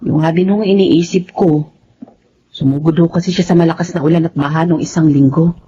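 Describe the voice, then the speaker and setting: A woman's voice: low voice, steady tone, a little fast, warm tone, husky, dull audio. A woman playing a worried, dutiful wife in a Filipino radio drama, speaking respectfully to an elder. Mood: concerned. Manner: respectful, anxious.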